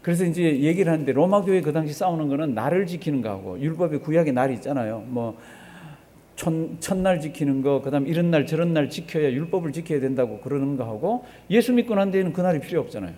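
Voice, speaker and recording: A man preaches to his congregation in a soft-edged, mellow voice that sits a little higher than average. He speaks conversationally as a pastor, posing questions to his listeners and repeating phrases to drive them home. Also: expressive, fast. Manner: conversational, asks the congregation rhetorical questions and has them respond. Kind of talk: sermon.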